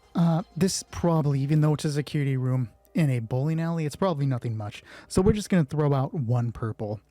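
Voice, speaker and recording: A man with a slightly high voice runs a solo tabletop roleplay as its game master. He shifts between clipped, scripted narration and loose, informal play commentary. The sound is dry and close, with music underneath. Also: dark tone, no echo. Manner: informal, swearing, thinking aloud during play. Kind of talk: hushed scripted story narration alternating with casual game commentary.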